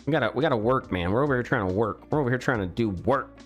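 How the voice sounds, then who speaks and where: A man's voice: mid-range voice, expressive, very fast, soft background music. Chatty young man streaming his game work. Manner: chatty, exclaims at mistakes, restarts often. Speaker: game developer streaming live. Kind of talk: casual live-stream chat while working. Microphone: studio mic with pop filter.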